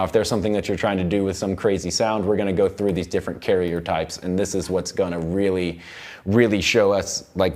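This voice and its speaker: An American man in his thirties giving an informal software tutorial as an instructor, often repeating and rephrasing himself as he goes. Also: on the low side. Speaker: audio production instructor. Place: recording studio control room.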